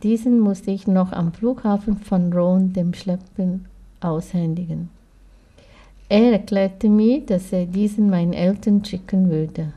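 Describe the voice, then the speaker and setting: A young woman reading a scripted first-person account in a measured, even way. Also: mid-range voice. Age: young adult.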